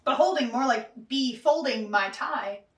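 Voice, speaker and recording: A woman's voice: fairly high pitch, expressive, measured pace. A woman bantering casually and jokingly as she directs an amateur web series, often giggly. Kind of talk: casual behind-the-scenes banter. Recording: echoey, distant microphone.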